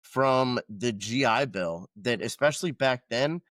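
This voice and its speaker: A man's voice: mid-range voice, expressive, measured pace, a bit bright, no echo, clean studio sound. A man in his thirties from Georgia who hosts a podcast and talks in a loose, rambling way with casual swearing. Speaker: podcast host, veteran. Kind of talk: casual chat.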